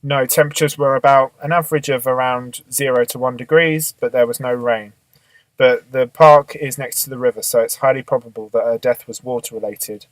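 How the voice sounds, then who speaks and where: A man playing a businesslike police boss in an amateur radio drama, measured, matter-of-fact and authoritative. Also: mid-range voice, steady tone, no room sound, lightly acted, low-quality recording. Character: businesslike male police boss briefing his detectives.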